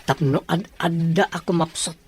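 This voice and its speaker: A woman's voice: sits low, moderate pace, a little mellow, slightly rough. A woman playing a greedy, cunning accomplice in an Ilocano radio drama, with a Filipino accent. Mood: pushy, greedy.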